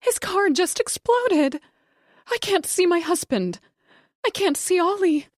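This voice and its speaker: A woman voicing a panicking wife who shouts and sobs in a high, strained voice. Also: big pitch swings, a little slow, dry recording, studio quality. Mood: screaming, sobbing. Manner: shouting, frantic, high-pitched. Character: frantic panicking woman, wife watching disaster.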